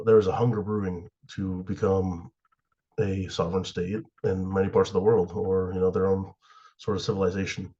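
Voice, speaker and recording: A sick young man from the USA, slightly hoarse and raspy, giving a class presentation with many fillers and pauses. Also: low voice, dark tone, highs cut off. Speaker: student presenter.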